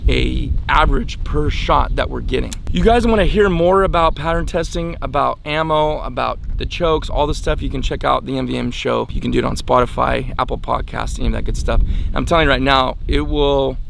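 A man's voice: medium pitch, steady tone, a little fast, a bit bright. An American man in his forties hosting a casual hunting vlog, explaining things plainly and informally outdoors. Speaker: hunting channel host. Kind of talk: casual product test vlog. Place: outdoors in an open dry yard. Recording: clear outdoor ambient noise.

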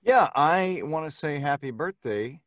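A man's voice: on the high side, lively intonation, measured pace, a bit bright, dry recording. A middle-aged man chatting casually on a live stream through a studio microphone. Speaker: voice actor on a live show. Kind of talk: casual chat. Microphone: studio mic.

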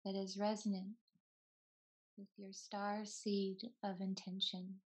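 A woman yoga teacher leading a guided meditation in a soothing, unhurried way, in short phrases with long pauses between them. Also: medium pitch, level tone, darkish tone, slightly rough. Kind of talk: slow guided meditation narration.

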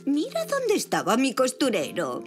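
A woman voicing a kindly, homely grandmother zebra in a children's cartoon, gentle and expressive. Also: sits high, moderate pace, music in the background. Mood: pleased. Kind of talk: animated children's cartoon dubbing.

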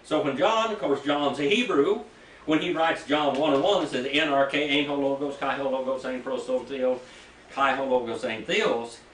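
A man's voice: mid-range voice, steady tone, moderate pace, a bit bright, slight background noise. An older man teaching a Bible class in a small church room, quizzing his listeners and reading scripture aloud. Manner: folksy, asks the class questions, reads scripture aloud. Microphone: room mic from a distance. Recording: echoey room, heard from a distance.

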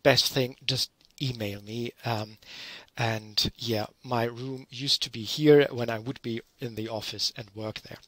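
Middle-aged German man, university lecturer, casual home recording, mid pitch, dry sound. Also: no room sound. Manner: relaxed, explanatory, with frequent fillers. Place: small room at home, curtain behind. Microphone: headset.

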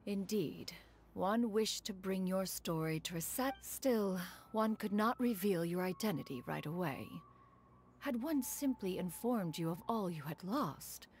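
A woman voicing a proud but tender immortal crane in human form, speaking formal, archaic, measured lines in a video game. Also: medium pitch, darkish tone, slight background noise, lightly acted. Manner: formal, archaic, calls herself "one", measured. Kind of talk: scripted video game dialogue.

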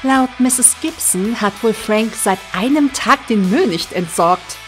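A woman's voice: on the high side, animated, a little slow, soft background music, low-quality recording. A woman playing an easygoing, dryly teasing police deputy in an amateur audio drama. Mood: wry, informative. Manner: dry, gently teasing. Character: wry, easygoing female police station deputy.

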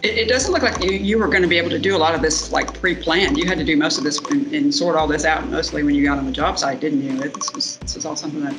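A woman's voice: low voice, quick pace, few pauses, music in the background, rough recording. A woman asking casual interview questions as a podcast host.

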